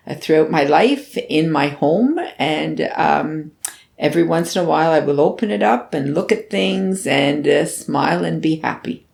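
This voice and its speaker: An older woman from Canada sharing personal memories in an unscripted reflection, thoughtful and full of small pauses and restarts. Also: low-pitched, vocal fry, darkish tone. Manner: slow, thoughtful, with frequent ums and restarts.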